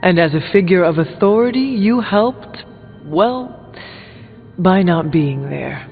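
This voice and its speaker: Frank young game hero, kinda high for a man, measured n teasing, tinny audio. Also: expressive, gravelly, full character voice. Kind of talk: video game character dialogue. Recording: thin band-limited game audio with music behind.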